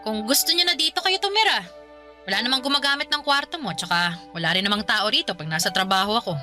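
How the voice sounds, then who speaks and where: Bright, blunt Filipino big sister. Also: female voice, on the high side, lively intonation, quick pace. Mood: resigned, caring. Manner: blunt, sarcastic, scolding. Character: exasperated older sister, blunt, scolding but caring. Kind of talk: Filipino radio drama acting. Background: music bed.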